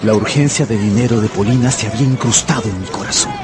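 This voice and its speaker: A man's voice: fairly low pitch, fast, natural voice. An actor playing an anxious, tempted gambler who narrates his own story in a radio drama. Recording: loud music and crowd behind the voice.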